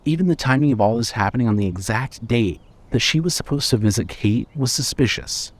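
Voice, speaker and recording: A man's voice: medium pitch, animated, brisk. A man reading scripted narration for a horror fiction audio drama.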